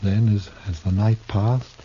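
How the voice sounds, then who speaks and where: An actor in a 1950s radio Western playing a cultured, despairing traveling player turned killer, speaking in a halting, theatrical, mournful way. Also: male voice, fairly low pitch, fairly even intonation, warm tone, natural voice. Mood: haunted confession. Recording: muffled, noisy old 1950s radio recording.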